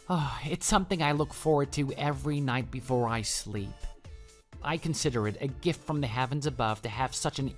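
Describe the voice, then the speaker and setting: A man acts out a clingy male office coworker in a radio drama, smitten and syrupy. He speaks at a middling pitch in flowery, gushing phrases and stammers when flustered, with music playing underneath. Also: natural voice. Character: clingy, lovestruck male office coworker who can't take hints. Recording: voice over background music.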